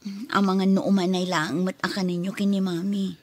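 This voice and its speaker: Weary young Filipino wife voiced by an actress. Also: female voice, mid-range voice, level tone, brisk, close to an everyday voice. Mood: weary, pleading. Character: worn-down young wife and mother, pleading with husband. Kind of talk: Filipino radio drama acting.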